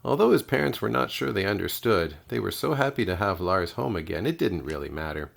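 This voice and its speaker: Male teacher reading picture books, deep gravelly voice, super expressive. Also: brisk. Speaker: kindergarten teacher reading aloud. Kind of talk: expressive children's storybook narration.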